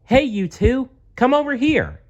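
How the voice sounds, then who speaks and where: A man's voice: extremely high pitch, huge pitch swings, a little slow, muffled recording, pitched above the performer's own voice, heavily acted. A man voicing a big schoolboy bully in a storybook, challenging and grudging in manner. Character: big, older schoolboy bully, gruffly suspicious, softening. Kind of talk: storybook read-aloud.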